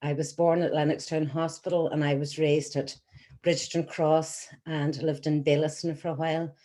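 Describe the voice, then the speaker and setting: An Irish woman in her sixties, a patient advocate telling her personal story to an audience in an earnest, measured way with frequent ums. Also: low voice, level tone, mellow. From Scotland, then Ireland. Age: 60s. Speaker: patient advocate giving a talk.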